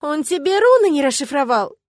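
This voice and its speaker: Audiobook role: female reader as bossy, loyal young witch friend, high-pitched, indignant, punchy delivery. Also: animated, fairly quick, no echo, clean studio sound. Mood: exasperated. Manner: emphatic, combative. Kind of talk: dramatised audiobook.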